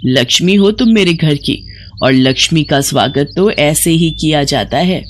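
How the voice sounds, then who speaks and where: Narrator putting on a slow, gentle old village mother-in-law. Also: mid-range voice, lively intonation, a little hiss or hum, close to an everyday voice. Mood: patient. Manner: patient, drawn-out, soothing speech. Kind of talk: animated moral-story character acting.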